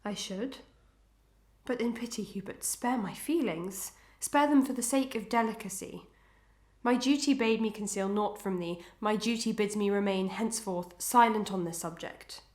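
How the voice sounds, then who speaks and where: A woman playing an anguished, proud and dutiful wife in a Victorian melodrama, with formal archaic diction and fervent, deliberate delivery. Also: mid-range voice, darkish tone, roomy sound, close to an everyday voice. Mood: pained restraint. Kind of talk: old-fashioned melodramatic stage acting.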